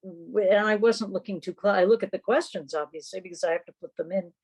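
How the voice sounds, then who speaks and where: A woman's voice: medium pitch, fast talker, a little mellow, slightly rough. A woman chatting informally as host of an online meeting over a video call.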